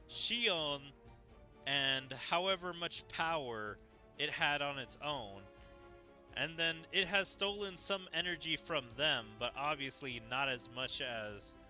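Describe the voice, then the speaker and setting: A man giving casual game commentary as a streamer, musing in halting phrases and speaking into a close microphone. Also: fairly high pitch, sharp tone. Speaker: gaming streamer. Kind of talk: casual game commentary, reading dialogue aloud. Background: game music.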